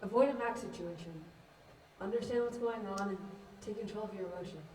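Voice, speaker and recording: A woman's voice: mid-range voice, brisk, a little mellow, natural voice. Young woman playing a frank teenage girl, echoey. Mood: blunt, reasoning. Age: young adult. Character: frank, reasoning teenage girl who counsels others. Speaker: student actor in a one-act play. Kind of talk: student stage play acting. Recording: echoey, distant mic, clear noise.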